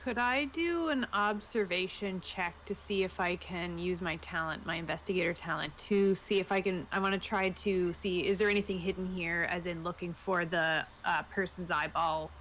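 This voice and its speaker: A woman's voice: lowish voice, steady tone, moderate pace, hardly any pauses, a bit bright, a little hiss or hum, poor audio. A woman chatting casually as a player in a tabletop roleplaying game.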